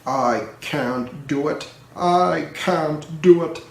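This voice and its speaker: A man playing a big, proud, pompous steam engine from a children's storybook, with drawn-out complaining delivery. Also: a little high, creaky, heavily acted. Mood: grumbling. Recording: roomy echo, distant microphone.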